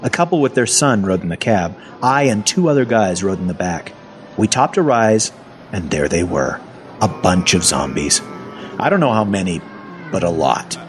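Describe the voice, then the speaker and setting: A man's voice: sits low, normal speed, soft background music. A man narrating audio fiction in the first person as a sarcastic, foul-mouthed captive survivor who is part zombie. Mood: irritated, animated. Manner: sardonic, conversational, expressive.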